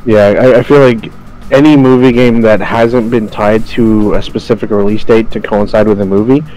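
A man's voice: lowish voice, fairly even intonation, moderate pace, a little mellow, poor audio. A man giving his opinion casually as a panelist on a group video game podcast. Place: online group voice chat. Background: guitar music.

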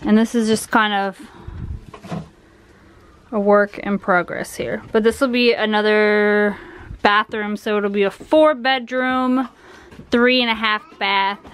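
A young adult woman casually walking through a house under renovation on a handheld phone, talking in a relaxed, rambling way with restarts. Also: mid-range voice, takes it slowly, long pauses, slight background noise. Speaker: vlogger giving a home tour. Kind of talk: casual walkthrough narration. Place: indoors, empty rooms of a house under renovation. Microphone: handheld phone camera.

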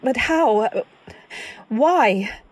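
A woman's voice: on the high side, expressive, extremely slow, darkish tone, full character voice. A woman playing an anxious, devoted wife who narrates her story in dramatic old-time radio style. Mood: anxious, pleading. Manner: dramatic, earnest delivery. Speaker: narrator and actor.

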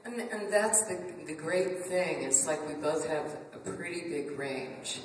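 A woman voice actress with a deep voice, speaking earnestly on a convention panel. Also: measured pace. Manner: earnest, self-described deep voice. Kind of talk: casual convention Q&A. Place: large convention function room. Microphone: table mic seen from far camera. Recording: echoey hall from far off, hiss.

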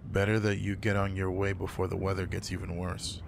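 A man playing a gruff but caring werewolf pack alpha in an intimate roleplay audio drama, speaking in a low-key, casual, matter-of-fact way. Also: monotone, brisk. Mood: calm, welcoming. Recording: noticeable background noise.

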